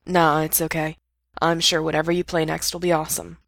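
A shy young male caller acted by a woman narrator in an audio story. He talks quietly and haltingly, with a deadpan, understated manner. The sound is dry and close. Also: low-pitched, creaky, no echo. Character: shy, hesitant young man phoning a radio show.

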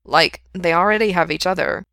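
A woman playing a defensive, flustered young man in an audiobook, with emphatic, halting delivery. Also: on the low side, vocal fry, fairly bright tone, clear, resonant tone, dry recording, studio quality.

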